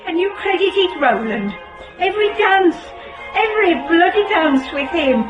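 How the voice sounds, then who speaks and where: An actress playing a bossy, chatty, jealous British wife out dancing in a radio drama. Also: female voice, very high-pitched, animated, moderate pace, lo-fi sound. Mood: peeved. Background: music and busy dance hall crowd.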